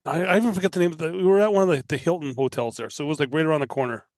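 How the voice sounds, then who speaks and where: A man's voice: fairly high pitch, very fast, darkish tone, dry recording. A Canadian man with a Canadian accent telling casual anecdotes as a podcast guest.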